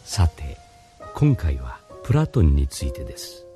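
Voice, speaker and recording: An actor playing a patient, mysterious older philosophy teacher, explaining in a measured radio drama style. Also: male voice, very deep voice, sing-song, mellow, heavily acted. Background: music.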